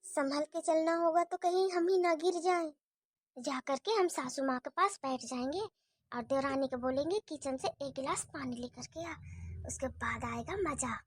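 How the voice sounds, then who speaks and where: A woman's voice: very high-pitched, normal speed, no room sound, clean studio sound. A woman playing a scheming elder daughter-in-law who mutters her plots and sweet-talks her mother-in-law in a homemade family drama skit. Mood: plotting, hushed. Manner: plotting in hushed mutters, sweet to her face.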